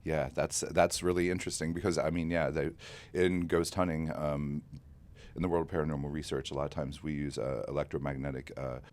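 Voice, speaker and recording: A man's voice: extremely low pitch, little pitch movement, brisk, a little mellow. A middle-aged man who runs ghost tours, answering casually and easygoing, with many fillers. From Columbus, Ohio, US. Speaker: ghost tour guide and ghost hunter.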